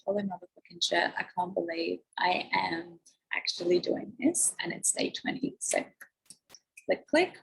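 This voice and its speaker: A woman life coach chatting effusively on a livestream, her voice croaky and hoarse. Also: medium pitch, a bit bright, poor audio. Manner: bubbly, effusive, lots of "like", coughing. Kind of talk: casual livestream chat. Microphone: phone or laptop livestream.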